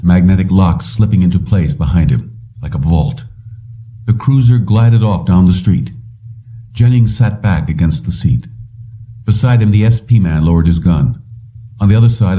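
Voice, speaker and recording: A man narrating fiction from a script as an audiobook reader. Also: low-pitched. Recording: slightly muffled, distant from microphone.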